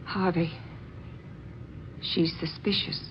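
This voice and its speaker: An actress playing an earnest, emotional woman in old-time radio drama style, with hesitant, breathy delivery. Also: on the low side, level tone, slow pace. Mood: tender, anxious. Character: earnest, awestruck bereaved daughter and tender secret lover. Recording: dull, noisy old radio drama recording.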